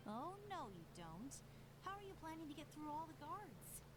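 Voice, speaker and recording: High-voiced, earnest young adventurer. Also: female voice, big pitch swings, moderate pace, background noise. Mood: insistent. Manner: earnest, insistent. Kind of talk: video game voice acting.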